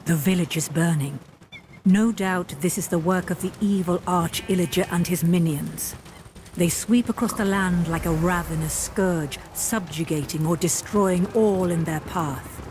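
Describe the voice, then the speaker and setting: A woman playing a grave storyteller who narrates a fantasy video game with theatrical, ominous and deliberate phrasing. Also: on the low side, slight background noise, close to an everyday voice. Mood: urgent, foreboding. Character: grave, dramatic female storyteller of a fantasy adventure. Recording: loud music behind. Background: dramatic game music.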